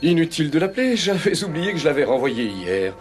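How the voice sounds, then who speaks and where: An actor playing a fussy, stern English gentleman obsessed with punctuality, precise and pompous, in a French cartoon dub. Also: fairly high pitch, sing-song, fairly quick, music in the background. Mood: fussy, displeased.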